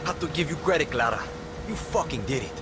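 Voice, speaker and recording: An actor playing a brash, sardonic young guerrilla fighter who swears freely in a video game. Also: male voice, fairly high pitch, animated, fast, noisy background, natural voice. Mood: wry. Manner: sardonic, profane. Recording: loud music behind.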